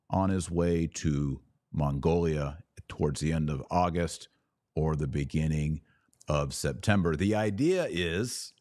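A man's voice: lowish voice, darkish tone, dry recording, studio quality. A man with an American accent hosting a podcast, giving casual, conversational solo commentary with wry, informal asides. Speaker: podcast host and religious commentator.

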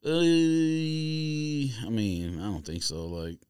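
A man's voice: medium pitch, steady tone, extremely slow, a little mellow, clear, resonant tone, dry recording, clean studio sound. A man on a podcast panel, chatting casually in a hesitant, noncommittal way. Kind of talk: casual chat.

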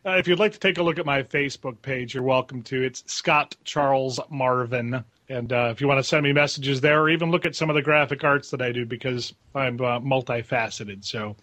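A man's voice: mid-range voice, brisk, few pauses, a bit bright, no echo. A man in casual chat as a voice actor guest, joking and self-deprecating.

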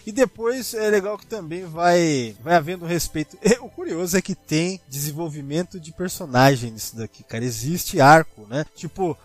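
A Brazilian man with a distinctly high voice chats casually as a podcast co-host. His talk is slangy and informal, like a fan chatting with a friend. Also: moderate pace, hardly any pauses, soft background music. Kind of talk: casual chat.

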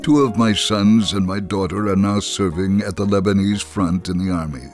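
An actor playing a middle-aged Israeli army veteran and father, telling his story in a measured dramatic reading. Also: low voice, fairly even intonation, a little mellow, soft background music, natural voice. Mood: steady, resolute. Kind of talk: dramatic first-person reading.

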